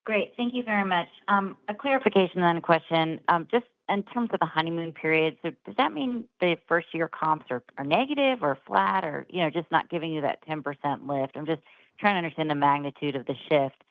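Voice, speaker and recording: Low-voiced woman caller on phone line. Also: a little fast, no echo. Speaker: financial analyst caller. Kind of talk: conversational questioning. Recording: thin dry phone line.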